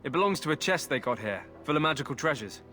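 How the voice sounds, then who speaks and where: An actor playing a cheeky, scheming soldier jailed for desertion, wheedling and sly, in a video game. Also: male voice, fairly high pitch, expressive, fast talker, pushed and bright, faint music behind. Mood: sly, evasive.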